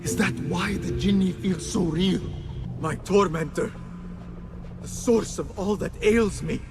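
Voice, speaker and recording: Brooding game assassin, haunted and serious, slow gravelly talk, music behind him. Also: male voice, high-pitched. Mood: anguished, brooding. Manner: grave, deliberate, searching. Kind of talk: dramatic video game acting, brooding monologue.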